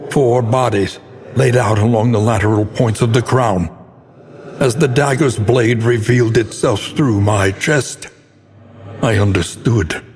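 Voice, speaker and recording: An actor playing a grim, haunted scholar who narrates cosmic horror in a grave, ornate, deliberate manner for a game. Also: male voice, fairly low pitch, lightly acted. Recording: long hall reverb, noisy ambient bed.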